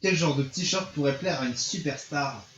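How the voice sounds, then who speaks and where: A slightly high-pitched male streamer reads visual novel text aloud in a theatrical, playful way, tripping over the odd line. The sound is dull and roomy, with faint music underneath. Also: normal speed, fairly bright tone, a little hiss or hum. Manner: theatrical, stumbles over lines. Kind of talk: reading game dialogue and narration aloud in a playful let's play.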